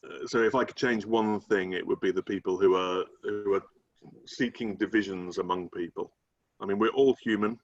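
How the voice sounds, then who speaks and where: A man's voice: on the low side, steady tone, normal speed. A middle-aged British man answering as a coach being interviewed on a video call, thinking aloud with restarted phrases. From United Kingdom. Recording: dull, muffled video-call audio.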